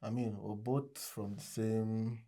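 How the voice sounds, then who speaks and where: Young nigerian guy on a podcast, lowish mellow voice, measured, lots of "i mean". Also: steady tone. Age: young adult. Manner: measured, says "I mean" often. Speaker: guest. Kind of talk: casual interview chat.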